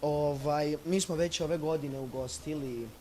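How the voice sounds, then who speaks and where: A man's voice: on the high side, normal speed, a little mellow, slight background noise. A young man with a Serbian accent hosting a radio interview in an unscripted, polite and hesitant way. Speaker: school radio host. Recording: music playing behind the voice.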